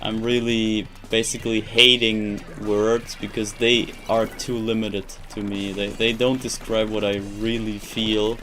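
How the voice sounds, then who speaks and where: A man's voice: lowish voice, little pitch movement, a little slow, hardly any pauses, pushed and bright, a little hiss or hum. A young man whose first language is German, chatting casually on a live stream in English, rambling and searching for words with many restarts. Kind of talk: casual live-stream chat while gaming.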